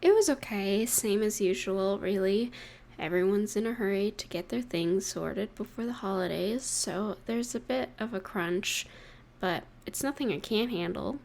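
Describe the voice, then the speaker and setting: A young woman playing an affectionate, playful girlfriend in an intimate audio roleplay, speaking softly and tenderly with gentle pauses. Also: medium pitch, vocal fry, a little hiss or hum. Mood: warm, affectionate. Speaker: voice actor in romantic audio roleplay. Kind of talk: intimate scripted roleplay addressed to the listener.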